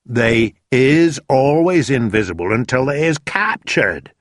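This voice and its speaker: A man voicing a big, kindly, excitable male giant who mangles his words. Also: mid-range voice, unhurried, no echo, clean studio sound, pitched above the performer's own voice, close to an everyday voice. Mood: chatty. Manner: jumbled words, broad rustic lilt.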